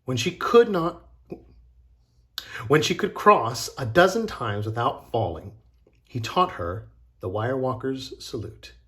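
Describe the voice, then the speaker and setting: A man reading a children's story aloud as a teacher, expressively and deliberately, with dramatic pauses. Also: mid-range voice, animated, a bit bright. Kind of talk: expressive scripted storybook narration.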